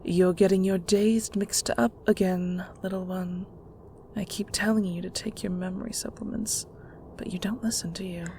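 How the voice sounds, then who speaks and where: A woman playing a controlling, middle-aged mother in an audio roleplay, with condescending sweetness and a patronizing, deliberate delivery. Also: mid-range voice, fairly even intonation, warm tone, a little hiss or hum, close to an everyday voice. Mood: condescending reproach. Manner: patronizing, slow, deliberate interrogation.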